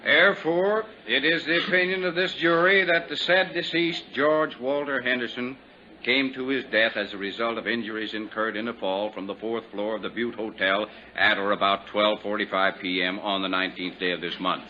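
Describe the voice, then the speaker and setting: A formal American official at a small-town inquest, low and forceful in voice. His delivery is measured and probing, heard through a dull, faintly noisy 1950s radio recording. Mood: official pronouncement. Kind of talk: 1950s radio drama acting.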